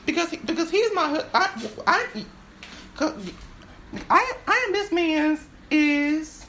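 A woman performing an improvised stage-play monologue, halting and stammering as she repeats her phrases. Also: extremely high pitch, lively intonation, a bit bright, a little hiss or hum.

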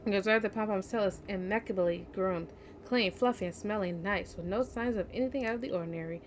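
A woman's voice: mid-range voice, quick pace. A tired young woman streaming a video game, chatting casually and reading the game's text aloud. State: tired, yawning. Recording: home stream with faint game noise.

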